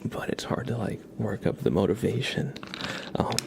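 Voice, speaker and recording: A man hosting an ASMR livestream, chatting casually and softly in a gentle, rambling way, often slipping into a whisper. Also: fairly low pitch, animated, moderate pace, creaky, noisy background. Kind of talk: soft-spoken ASMR with casual livestream chat.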